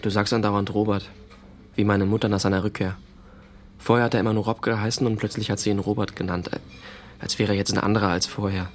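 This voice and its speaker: A man's voice: lowish voice, level tone, fast talker, slight background noise, close to an everyday voice. An actor playing a sullen, insecure teenage boy in a naturalistic radio drama, speaking in a hesitant, colloquial way. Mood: hesitant, reflective. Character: sullen, insecure fourteen-year-old boy, younger brother of a soldier.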